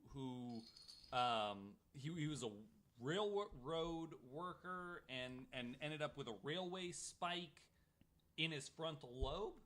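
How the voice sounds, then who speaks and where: A man's voice: fairly high pitch, animated, slow, fairly bright tone. A man co-hosting a podcast in casual, joking chat, informal and repeating words as he builds up a point. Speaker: podcast co-host.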